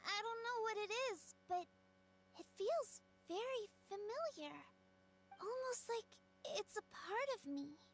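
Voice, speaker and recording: A woman voicing an earnest, wistful young girl full of wonder in a video game, speaking in a gently reflective way. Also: very high-pitched, lively intonation, unhurried, slight background noise. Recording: game music behind the voice.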